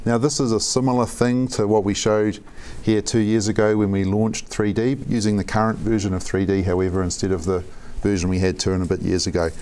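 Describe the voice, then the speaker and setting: A man in his forties with a New Zealand accent, walking through a software demo methodically in a conference room. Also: on the low side, flat delivery, a little fast, hardly any pauses, a little hiss or hum. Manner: plain, methodical explaining. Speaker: software architect giving a demo. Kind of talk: live software demo presentation.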